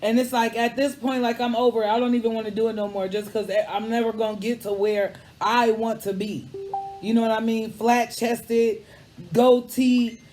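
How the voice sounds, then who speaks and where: Relaxed high-voiced male host. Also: normal speed, soft background music, low-quality recording. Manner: relaxed, conversational, candid. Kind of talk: casual interview chat.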